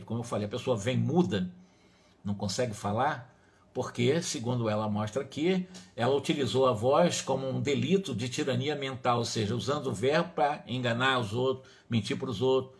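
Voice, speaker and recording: A Brazilian man with a mid-range voice delivers a religious study talk. He speaks as a lecturer, didactic and emphatic, moving between reading and explanation. He often repeats and rephrases his points. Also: mid-range voice. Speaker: spiritist lecturer.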